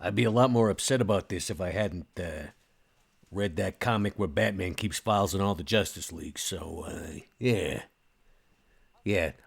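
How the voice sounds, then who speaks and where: A man's voice: low-pitched, moderate pace, gravelly, breathy edge. A man chatting casually in improvised tabletop roleplay, with a laid-back, colloquial manner. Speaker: player in a tabletop roleplay podcast. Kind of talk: casual improvised in-character chat.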